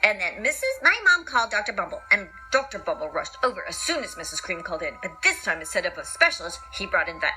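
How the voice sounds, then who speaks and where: A woman's voice: fairly low pitch, big pitch swings, quick pace, hardly any pauses, pushed and bright, poor audio. A woman reading a children's picture book aloud theatrically, with playful asides and repetition. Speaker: storyteller, podcast host. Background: music.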